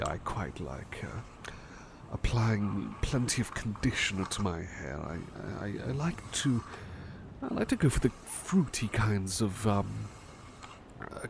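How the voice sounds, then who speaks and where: A gentle, doting male butler, acted by a man in an ASMR roleplay, speaking very slowly in a lowish voice with lively swoops. He is cheeky and a little hesitant, repeating soft phrases close to the mic. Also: vocal fry, background noise, heavily acted. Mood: gentle, attentive. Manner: hesitant, repeats phrases soothingly, often drops to whispers. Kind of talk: ASMR personal attention roleplay.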